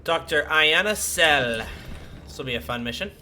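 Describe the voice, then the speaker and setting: A streamer talks casually through a video game in a bright, forward voice at a middle pitch. There is faint background noise with keyboard typing. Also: lively intonation, moderate pace. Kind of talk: casual gameplay commentary.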